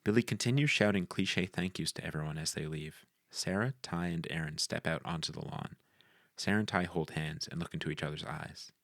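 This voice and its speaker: Bass-leaning male script narrator. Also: brisk, a bit bright, no room sound, clean studio sound. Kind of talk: reading screenplay action lines.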